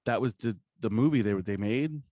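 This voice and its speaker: A man's voice: medium pitch, brisk, warm tone, dry recording, clean studio sound. A man co-hosting a podcast, chatting casually and enthusiastically about pop culture with plenty of swearing and slang. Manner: profane, slangy. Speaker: podcast co-host.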